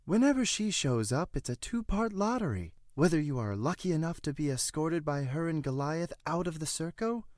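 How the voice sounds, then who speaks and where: An actor playing a pious, guilt-burdened prisoner in an audio drama, speaking in formal, measured phrases. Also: male voice, on the high side, expressive, a little mellow, no room sound, studio quality, lightly acted. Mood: grave, calm.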